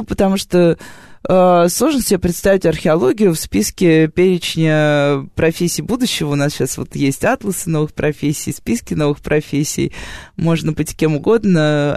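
A woman's voice: deep voice, creaky, dark tone, no room sound, clean studio sound. A woman hosting a radio interview, asking long winding questions while fighting an autumn cough. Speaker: radio host. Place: radio studio.

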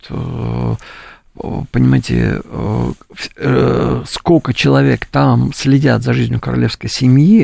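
A man with a very gravelly, dark voice gives opinionated political commentary as an interview guest. He speaks haltingly and keeps checking that the listener follows. The sound is dry, as in a studio. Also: mid-range voice, dry recording. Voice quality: gravelly, husky. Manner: halting, peppers speech with appeals to the listener. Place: radio studio.

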